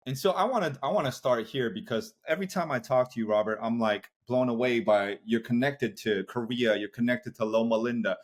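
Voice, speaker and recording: A man's voice: medium pitch, brisk, few pauses. Casual affirming male podcast host. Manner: casual, affirming, many fillers. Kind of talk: casual interview chat.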